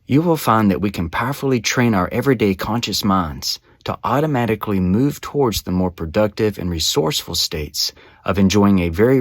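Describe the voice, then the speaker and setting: A man with a low voice leads a guided meditation from a script. His phrasing is measured and soothing, giving gentle instructions step by step. The sound is dry, with very little room in it. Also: no echo.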